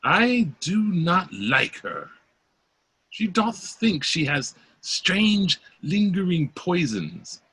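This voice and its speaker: A wary court doctor, played by a man, delivers Shakespearean lines slowly and deliberately. The voice is husky and fairly high, with expressive rises and falls. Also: dry recording, full character voice, rough recording. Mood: suspicious, confiding. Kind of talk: Shakespeare play read aloud. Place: remote video call.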